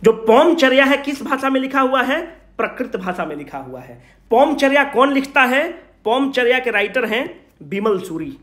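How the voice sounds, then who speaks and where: Man, high voice, informal lecturer, rhetorical questions, colloquial, echoey room. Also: very animated, moderate pace, fairly bright tone. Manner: asks questions and answers them himself, colloquial. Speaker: teacher giving an exam revision lecture. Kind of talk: informal Hindi-English classroom lecture.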